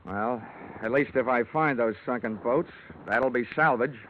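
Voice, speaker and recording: A man's voice: medium pitch, a little slow, a little hiss or hum, natural voice. Tinny vintage radio recording, wry hard-boiled investigator played by a man. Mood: cool, wry. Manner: dry, wisecracking, clipped. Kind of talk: 1940s-50s radio detective drama acting and narration.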